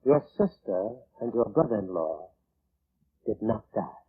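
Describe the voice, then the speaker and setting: An actor playing a sinister, ominously polite coroner in formal, measured 1940s radio drama style. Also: male voice, fairly low pitch, big pitch swings, breathy edge, full character voice. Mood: coolly formal. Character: sinister courteous coroner who claims the dead. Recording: tinny muffled vintage radio recording.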